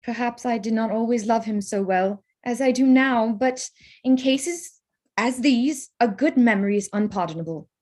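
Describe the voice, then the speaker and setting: A witty young Regency gentlewoman acted by a woman with a fairly high voice. She is spirited and teasing yet keeps her composure, speaking in the formal phrases of a period romance read for the stage. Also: moderate pace, natural voice. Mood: happy, sincere. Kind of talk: staged reading of a period drama.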